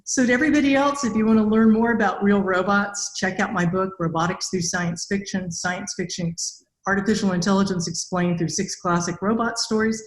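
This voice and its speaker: A woman's voice: medium pitch, steady tone, a little fast, few pauses. An American woman in her fifties casually hosting an interview podcast. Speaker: podcast host, author. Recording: roomy, echoey sound.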